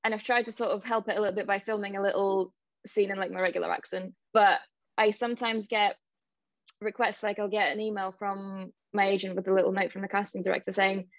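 Eastern european actor, woman, hesitant question, flat tone, dull dry call audio. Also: medium pitch, fairly quick, no room sound. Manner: tentative, apologetic. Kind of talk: workshop question. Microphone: video call.

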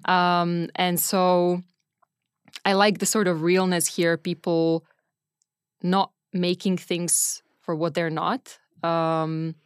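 Smooth lower-pitched woman in conversation. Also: level tone, takes it slowly, no room sound, clean studio sound. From Czech Republic. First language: Czech. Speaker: interview guest, venture capital investor. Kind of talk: casual conversational interview.

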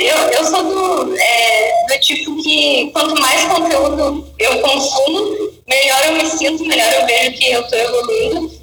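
A woman's voice: high voice, bright, forceful tone. A woman who teaches English, talking in a thoughtful, hesitant way with many restarts during a live interview chat. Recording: echoey room, far from mic, faint music.

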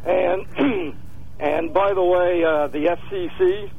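A man's voice: high voice, fairly even intonation, slow, creaky. A man calling in to a radio show by telephone, chatting casually. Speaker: phone-in caller. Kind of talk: casual chat. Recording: tinny, noisy phone line.

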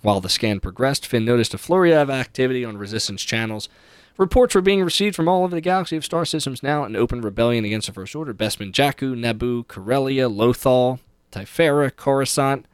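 A man's voice: medium pitch, animated, fairly quick, clean tone, no room sound, clean studio sound. A man hosting a podcast, casually recapping a book with read-aloud passages and opinionated, conversational asides. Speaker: podcast host.

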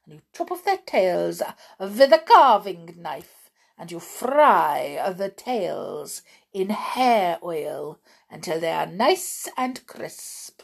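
A woman voicing a ruthless, vain female witch leader, giving orders in slow, emphatic, theatrical pronouncements in a storybook reading. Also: on the low side, sing-song, gravelly, exaggerated voice. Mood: scheming. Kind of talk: audiobook character reading.

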